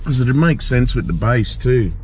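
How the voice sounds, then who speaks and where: A man's voice: animated, normal speed. A man, vlogging casually as he explores and drives, thinking aloud and swearing at other drivers. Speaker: travel vlogger. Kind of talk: casual walking and driving vlog. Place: abandoned building, then inside a car. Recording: dull lo-fi camera audio with loud engine rumble.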